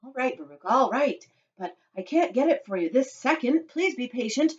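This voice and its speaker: A woman's voice: fairly low pitch, expressive, moderate pace, muffled recording, lightly acted. Woman reading an indulgent, placating father. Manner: soothing, appeasing. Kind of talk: children's storybook character voice.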